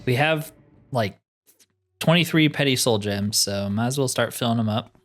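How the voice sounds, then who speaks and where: A man's voice: medium pitch, moderate pace. A man hosting a game playthrough with casual, informal commentary, thinking aloud as he plays. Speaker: host of a game roleplay series. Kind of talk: casual let's play commentary. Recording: music behind the voice.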